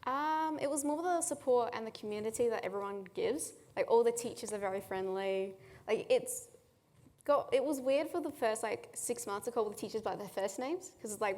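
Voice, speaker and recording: A woman's voice: mid-range voice, moderate pace, a little mellow. Woman student, casual and chatty, long reverb. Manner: chatty, lots of "like". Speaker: student panelist. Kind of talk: casual panel talk.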